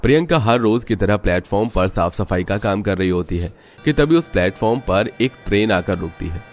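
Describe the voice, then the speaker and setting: A man's voice: fairly low pitch, lively intonation, brisk, soft background music. A man narrating a scripted cartoon story.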